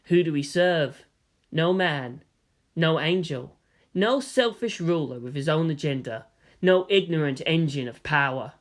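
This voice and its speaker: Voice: mid-range voice, lively intonation, a little slow, lightly acted. A performer voicing an ecstatic alien collective being in a story reading, chanting rhetorical questions and answers with fervor. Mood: solemn fervor.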